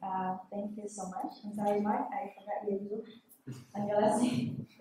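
Slow, hesitant woman in an echoey hall. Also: medium pitch, fairly even intonation, warm tone, distant mic, a little hiss or hum. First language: Vietnamese. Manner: hesitant, many ums and uhs. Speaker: type design student presenting her typeface. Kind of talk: conference presentation. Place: large hall, at a lectern. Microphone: lectern mic, camera far off.

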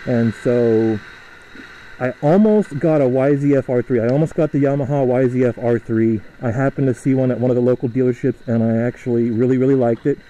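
Young man, mellow voice, chatty and rambling, helmet mic, faint road noise. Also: mid-range voice, dull audio. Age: young adult. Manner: rambling, chatty, halting with fillers. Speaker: motovlogger. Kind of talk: casual riding commentary. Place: riding a motorcycle outdoors through a suburb.

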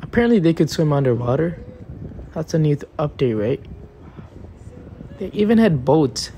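Chill young guy doing vlog voiceover, kinda mellow tone, little outdoor hiss. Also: on the high side, big pitch swings, moderate pace. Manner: laid-back, chatty. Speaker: vlogger. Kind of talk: casual vlog voice-over.

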